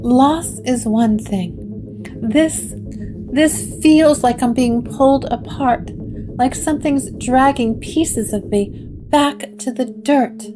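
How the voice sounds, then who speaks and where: A woman's voice: high-pitched, slow, voice pushed up, rough recording. A woman voicing an ancient, haunted woman who speaks in a fragile, faltering way. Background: guitar music.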